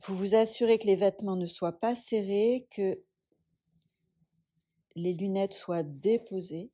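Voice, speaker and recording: Soft hushed lady leading a guided meditation, really unhurried, airy mellow voice, lots of pauses. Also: mid-range voice, slightly rough. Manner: soft, soothing, measured instruction with long pauses. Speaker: yoga nidra instructor.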